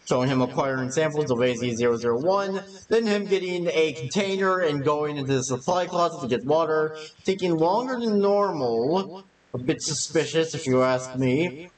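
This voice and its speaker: Man, high voice, scripted narrator, video essay, slightly off-mic. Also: animated, moderate pace, distant mic, low-quality recording.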